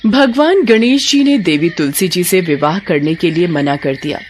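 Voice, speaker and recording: A woman narrating a scripted devotional story in a measured, expressive storytelling manner. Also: on the low side, slight background noise.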